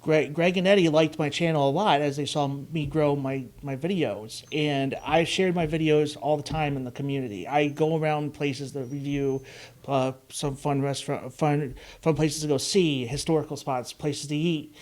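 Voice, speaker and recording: A man's voice: a little high, fairly even intonation, normal speed, darkish tone. A man in his thirties with a Chicago-area Midwestern accent, hosting a casual podcast and telling his story in a rambling way, full of "uh" and "like". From Chicago area, Illinois. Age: 30s. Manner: rambling, storytelling, many "uh" and "like" fillers. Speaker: podcast host.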